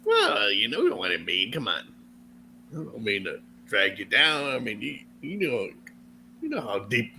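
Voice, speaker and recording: Rambling joking man, bright tone. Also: mid-range voice, wildly swooping pitch, gravelly, slight background noise. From Texas. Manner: rambling, joking, quick to laugh. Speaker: podcast host. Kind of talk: casual profane banter.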